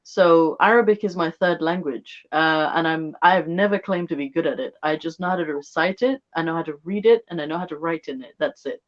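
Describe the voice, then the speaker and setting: Casting: female co-host, Bengali is her first language, quick, brief replies, sound slightly muffled and lo-fi. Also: low voice. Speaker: podcast co-host who recites scripture. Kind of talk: casual chat with recitation.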